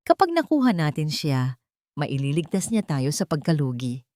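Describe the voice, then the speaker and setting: A woman's voice: low-pitched, big pitch swings, normal speed, a little mellow, no room sound. A woman plays a domineering older mother-in-law in a storybook drama. She is greedy and scheming, and she speaks with commanding insistence. The recording is dry and close. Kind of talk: audio storybook drama dialogue.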